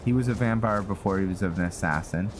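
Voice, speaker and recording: A man's voice: sits low, a little fast, background noise. A man giving casual roleplay game commentary, musing with dry jokes and trailing asides. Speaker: gaming video host. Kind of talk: casual roleplay gameplay commentary, sometimes reading in-game text aloud. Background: game music.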